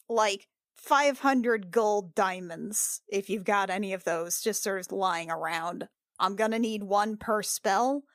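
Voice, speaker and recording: A woman playing a tabletop game, chatting casually in and out of character in a halting, informal way that circles back on itself. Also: medium pitch, no room sound, studio quality. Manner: halting, informal, repeats herself.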